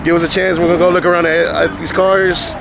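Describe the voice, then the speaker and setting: A hoarse man hosting a casual car meet vlog outdoors, talking in a laid-back way with lots of fillers. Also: on the high side, level tone, fast talker. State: hoarse, losing his voice. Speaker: vlog host. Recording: loud outdoor event noise. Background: loud music and crowd.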